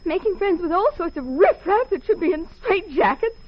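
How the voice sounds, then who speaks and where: Excitable American secretary, high-pitched woman, fussy and emotional, vintage radio acting, dull lo-fi sound. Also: moderate pace. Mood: scolding, wound up. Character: prim, excitable secretary smitten with her detective boss. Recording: muffled 1940s radio recording.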